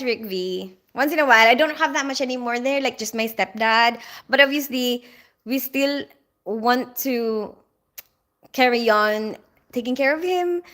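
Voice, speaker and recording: Bright-voiced woman greeting viewers. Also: on the high side. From Philippines. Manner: chatty, greets viewers by name, frequent fillers. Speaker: livestream host. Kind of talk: casual livestream chat reading comments.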